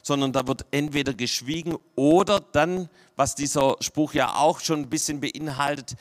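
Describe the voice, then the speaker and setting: Male pastor, slightly high voice, conversational sermon delivery with hushed emphasis. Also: normal speed. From Swabia, Germany.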